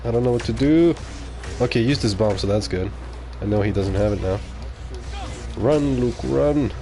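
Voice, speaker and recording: A man's voice: mid-range voice, normal speed, warm tone, faint music behind. A man whose first language is French, streaming live and talking casually while he plays, reacting aloud and muttering asides to viewers. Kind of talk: live gameplay commentary.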